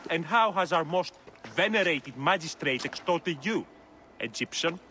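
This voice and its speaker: Forceful, resolute rebel leader. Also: male voice, a little high, lively intonation, unhurried, a little hiss or hum. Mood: wary, grim. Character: resolute male local rebel leader, weary of oppressors.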